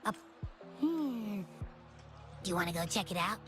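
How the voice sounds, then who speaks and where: A woman's voice: mid-range voice, expressive, a little slow, close to an everyday voice. A woman voicing a small, childlike creature companion in a video game, curious, sleepy and chatty, musing aloud. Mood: curious, eager. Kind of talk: video game character dialogue. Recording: game music behind the voice. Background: game background music.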